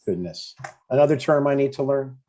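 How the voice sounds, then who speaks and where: A man's voice: mid-range voice, animated, a little fast, dark tone, lightly acted. A man in his sixties playing an earnest, eager but stubborn dad in a conversational comedy table read.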